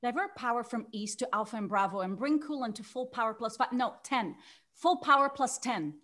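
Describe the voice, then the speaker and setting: A woman's voice: a little high, animated, brisk, a bit bright. A woman playing an urgent, commanding control-room operator in a sci-fi table read, giving clipped orders. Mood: alarmed.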